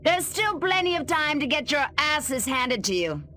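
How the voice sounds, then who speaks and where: A woman's voice: sits high, big pitch swings, normal speed, pushed and bright, faint music behind, exaggerated voice. A woman playing a scornful, patriotic government agent villain in a video game, theatrical, taunting and sarcastic.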